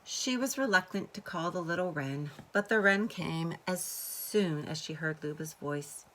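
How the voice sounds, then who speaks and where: A woman's voice: fairly low pitch, measured pace, a bit bright, poor audio. A woman reading a children's story aloud expressively, like a teacher to pupils. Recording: faint background noise.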